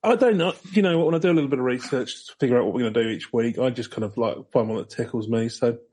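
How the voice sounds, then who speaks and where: A man hosting a podcast in casual, slightly rambling chat, with dry understatement and a husky voice. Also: medium pitch, rapid-fire, dark tone. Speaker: podcast host. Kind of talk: casual chat, reading out an article.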